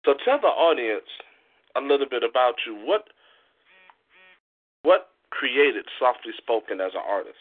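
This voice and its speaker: A male host chats casually over a narrow, phone-like connection. His pitch is average for a man, and his way of talking is animated, slangy and playful, like an informal internet radio interview. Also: a little slow, long pauses, breathy edge. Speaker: radio show host and street poet. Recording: tinny phone-line internet radio sound.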